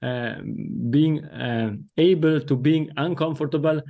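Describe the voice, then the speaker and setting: A man's voice: fairly high pitch, measured pace, creaky, mellow. A man with an Italian accent, a native Italian speaker, hosting a podcast interview in careful, formal English. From Italy. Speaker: podcast host.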